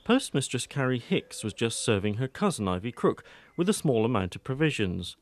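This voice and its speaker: A man's voice: medium pitch, sing-song, moderate pace. A man narrating a scripted audio drama in the manner of an audiobook.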